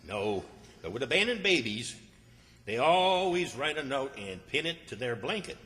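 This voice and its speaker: In a reverberant hall, an actor plays a fussy, scheming country man from a radio comedy. His drawl is bright and excitable, leaping widely in pitch as he frets in folksy exasperation. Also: moderate pace. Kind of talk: old-time radio comedy acting. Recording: reverberant hall stage recording.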